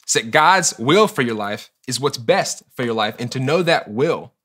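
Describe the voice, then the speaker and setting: A man's voice: mid-range voice, lively intonation, a bit bright, breathy edge. A young man in his twenties giving an earnest, conversational sermon to camera in a small studio, often correcting himself and voicing his listeners' questions. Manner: earnest, quick, self-correcting, asks imagined questions. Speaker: church group leader giving a short teaching.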